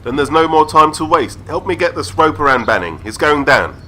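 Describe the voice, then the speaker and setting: Determined workman, male actor for audio drama; fairly high, forceful voice, insistent and urgent, light background noise. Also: animated, fairly quick. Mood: commanding. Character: determined male workman taking charge in an emergency.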